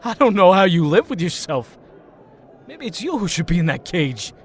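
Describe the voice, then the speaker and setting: Man acting a bitter drunk, high swooping voice. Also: very animated, moderate pace. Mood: bitter, resentful. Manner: taunting, resentful outbursts. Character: bitter drunk young man, jealous ex-hero, volatile. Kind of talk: audio drama acting.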